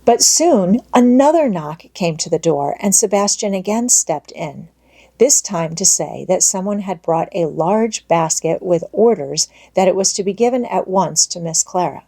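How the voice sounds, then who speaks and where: A woman's voice: fairly low pitch, animated, measured pace, dark tone, husky. A woman narrating an audiobook, reading a children's story expressively from a script.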